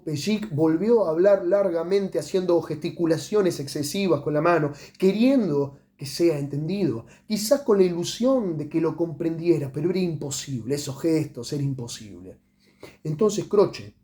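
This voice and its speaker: A man reads a literary short story aloud in a dramatic way, letting phrases trail off into pauses. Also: on the high side, dark tone. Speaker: audiobook reader.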